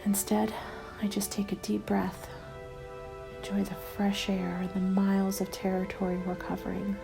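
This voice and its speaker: Woman as a hushed, creaky-voiced lone apocalypse survivor. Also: medium pitch, monotone, natural voice. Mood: wary, resigned. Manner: hushed, breathy, measured delivery. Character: weary, guarded lone female survivor journaling a zombie apocalypse. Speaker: narrator of an audio roleplay. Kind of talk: ASMR scripted first-person diary narration. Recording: music laid under the voice.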